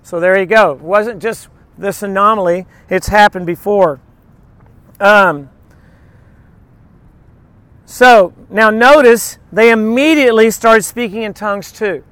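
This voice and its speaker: A man's voice: sits high, unhurried, long pauses, dry recording. A man giving an informal, conversational sermon, telling personal stories and acting out little quotes as he teaches. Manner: informal, animated storytelling with acted-out quotes. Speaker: preacher.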